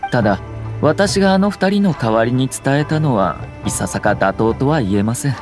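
A courteous young lord of a noble house acted by a man in a video game. He speaks at a middling pitch in formal, measured phrases, composed and slyly wry, over soft music. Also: lively intonation, lightly acted. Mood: polite, wry. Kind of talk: Japanese game voice acting.

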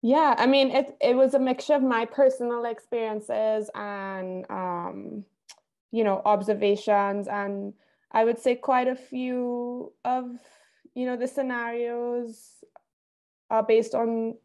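A young woman from Trinidad speaking thoughtfully and haltingly in a casual interview as a film director. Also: fairly high pitch, flat delivery, a little mellow. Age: young adult. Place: indoor room, video call. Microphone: wired earphones on a video call.

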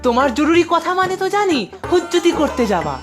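Very high-pitched nagging cartoon grandchild. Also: female voice, big pitch swings, quick pace, music in the background. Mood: exasperated, reproachful. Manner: nagging, questioning. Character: exasperated, scolding young grandchild of cartoon elder. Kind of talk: Bengali comic cartoon voice acting.

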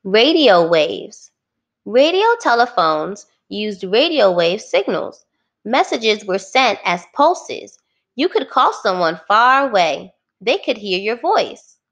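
Lively-pitched woman reading aloud to children. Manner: clearly phrased, instructive, addressing young listeners. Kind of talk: children's read-aloud with simple explanations.

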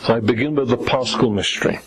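Older husky man lecturing, native Polish speaker. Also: medium pitch, fast, low-quality recording. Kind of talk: formal scripted theological lecture. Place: lecture stage at a lectern, indoors. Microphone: gooseneck lectern microphone.

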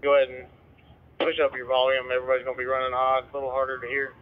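A man in the field speaks quickly over a two-way radio, in short practical exchanges. The sound is dull and muffled, as heard on a scanner. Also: medium pitch, completely flat delivery, clean tone. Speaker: emergency responder in the field. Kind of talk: terse radio traffic.

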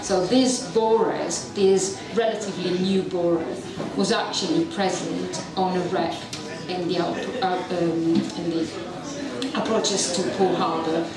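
A woman's voice: lowish voice, fairly even intonation, a little slow, distant mic. Italian-accented woman lecturing in an echoey venue. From Italy. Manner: chatty, self-deprecating, engages audience. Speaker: university researcher giving a public talk. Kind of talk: public lecture. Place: dark event venue with audience. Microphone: handheld mic, filmed from a distance. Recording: echoey venue recorded from afar, crowd present.

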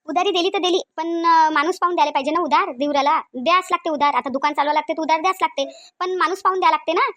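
A woman voicing a shrill scolding village mother-in-law. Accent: Varhadi Marathi. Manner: nagging, loud, rapid scolding. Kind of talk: cartoon voice acting.

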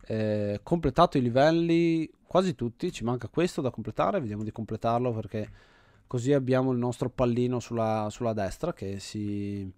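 A man's voice: medium pitch, normal speed, darkish tone, dry recording. An Italian man hosting a game series with casual gameplay commentary, thinking aloud and often repeating himself. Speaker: host of an indie game video series.

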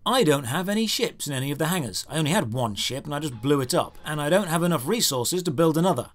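A man with a high voice reviews a video game as a scripted narration. His pitch swings widely as he plays up his exasperation. The delivery is sarcastic and theatrical. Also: expressive, fast talker.

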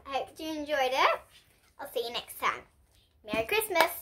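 A young girl reads a storybook to other children. Her voice is high and bright, and it rises and falls in a very animated way. She tells it expressively, with the odd stumble over a word. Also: normal speed. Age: child, about 8 to 11. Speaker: host and reader of children's bedtime books. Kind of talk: reading a picture book aloud for children. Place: indoor room with bookshelves.

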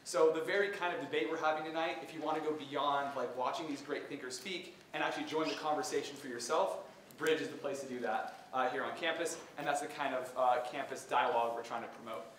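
Loud young man in an echoey hall. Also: mid-range voice, steady tone, recorded from a distance, slight background noise. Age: young adult. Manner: quick, casual, loud. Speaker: student club president. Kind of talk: announcement to an audience. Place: large lecture hall.

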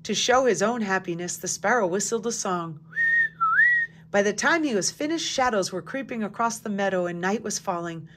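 A woman narrating a picture book aloud in a calm, even storytelling manner, dropping to a hush at quiet moments. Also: mid-range voice, normal speed, heavy background noise. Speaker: storybook reader.

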